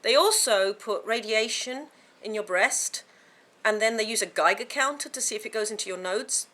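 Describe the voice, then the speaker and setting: A woman vlogging to camera from home, with a croaky voice from a sore throat, chatting in a rambling way with many fillers and restarts. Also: fairly high pitch, moderate pace, pushed and bright. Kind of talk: casual chat to camera.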